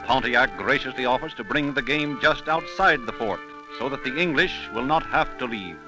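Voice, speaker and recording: A man's voice: medium pitch, animated, normal speed, poor audio. A man narrating a 1930s radio program in a solemn, theatrical storytelling style. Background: music.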